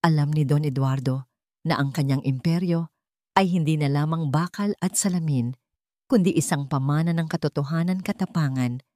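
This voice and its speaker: Lady narrator doing a heartfelt drama audiobook, lowish voice, measured and earnest, super dry sound. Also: mellow, dry recording. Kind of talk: scripted narration of a sentimental drama story.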